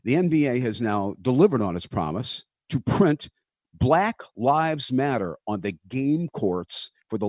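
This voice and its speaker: A man's voice: on the low side, darkish tone, no room sound, studio quality. A man hosting a sports talk show, pressing his co-hosts with questions and reading out news items. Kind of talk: sports talk radio discussion.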